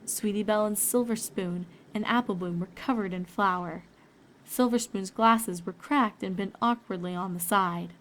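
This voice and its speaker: A woman's voice: mid-range voice, moderate pace, rough recording. A woman reading scripted story narration as the narrator of a fan fiction.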